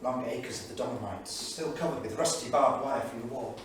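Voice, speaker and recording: A man's voice: mid-range voice, brisk, lightly acted. A man playing a bitter, grieving 1938 academic, reading his journal aloud in a solemn, literary, emphatic way on stage. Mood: haunted. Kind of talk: staged dramatic reading of diary entries. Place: small studio theatre, staged reading. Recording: echoey theatre, distant mic, faint noise.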